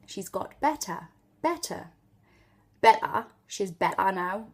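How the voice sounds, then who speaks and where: A woman's voice: mid-range voice, animated, slow pace, breathy edge. A woman from Newcastle with a Geordie accent, chatting through an unscripted accent tutorial and drawing out sample words to demonstrate them. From Newcastle upon Tyne, northeast England. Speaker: accent tutor and video host.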